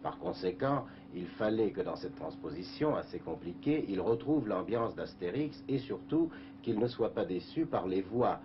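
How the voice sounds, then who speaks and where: A male comic-book scriptwriter in an interview, speaking measuredly and pausing often. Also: low voice, expressive. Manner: measured, hesitant, with many pauses. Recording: muffled old broadcast tape, hissy.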